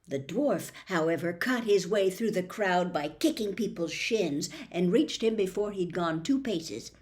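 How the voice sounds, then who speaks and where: A woman narrating a children's novel aloud, reading expressively and dropping to a hush in quiet moments. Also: lowish voice, expressive, measured pace. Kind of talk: storybook read-aloud.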